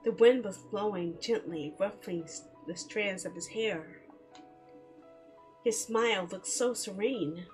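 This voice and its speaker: Female game streamer, playful, medium-pitched, casual read-aloud and chat over faint music. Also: takes it slowly, a little mellow, low-quality recording. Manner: giggly, playful, chattering to herself.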